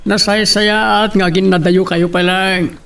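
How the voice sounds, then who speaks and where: Man acting a high, creaky-voiced genteel parent. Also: steady tone, a little slow, a bit bright, full character voice. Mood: chatty, admonishing. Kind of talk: Ilocano radio drama acting. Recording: slightly distant microphone.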